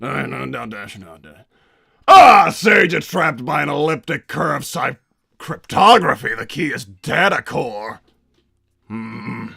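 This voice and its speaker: A man playing a pompous, irritable cartoon villain scientist and doting father, raspy and theatrical, bantering in character on a livestream. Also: mid-range voice, animated, takes it slowly, pushed and bright, heavily acted. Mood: grandly chatty. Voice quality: raspy, gravelly. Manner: theatrical, blustering, stammers when flustered. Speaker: livestream host reading donations.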